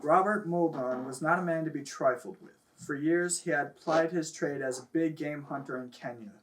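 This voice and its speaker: A man's voice: fairly high pitch, normal speed. A man reading a comic story aloud as a host, stumbling now and then, recorded on a camera microphone. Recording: noisy distant camera microphone.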